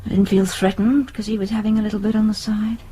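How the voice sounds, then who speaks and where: A woman's voice: mid-range voice, flat delivery, fast talker, mellow, breathy edge, natural voice. An actress playing a resigned, anxious middle-aged British wife and mother in a naturalistic radio drama, hesitant and wistful. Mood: reflective.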